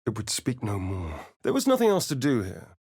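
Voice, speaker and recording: An actor voicing a sly, vain vampire rogue for a video game, with an English accent and an arch, theatrical, drawling delivery. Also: male voice, lowish voice, huge pitch swings, normal speed, a little mellow, breathy edge, full character voice. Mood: hushed narrating.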